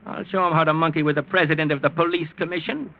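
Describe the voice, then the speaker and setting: A man's voice: on the high side, fairly even intonation, natural voice. An actor playing a shrewd, commanding rich American grandfather in 1940s radio style. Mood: commanding, proud. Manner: brisk, commanding. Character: shrewd, feisty rich old grandfather, police commission president. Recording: muffled 1940s radio recording.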